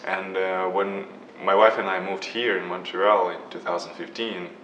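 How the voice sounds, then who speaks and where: A man from Ukraine, a photographer, answering interview questions in a soft, halting way with frequent restarts. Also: deep voice, level tone, muffled recording, slight background noise. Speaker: guest photographer. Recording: echoey room, mic from a distance.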